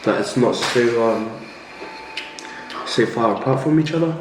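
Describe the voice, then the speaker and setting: A young man joking around in a relaxed couple's vlog chat at home. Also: medium pitch, a little slow, distant mic. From New Zealand. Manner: laid-back, jokey, says bro a lot. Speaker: vlogger. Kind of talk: casual chat while eating. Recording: echoey room, a bit off-mic, faint hiss.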